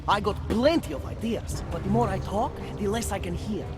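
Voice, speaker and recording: An actor playing a paranoid, excitable underground journalist in a video game cutscene, theatrical and rambling with a slangy hipster manner. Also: male voice, high-pitched, normal speed, close to an everyday voice. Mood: indignant, rising. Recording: music behind the voice.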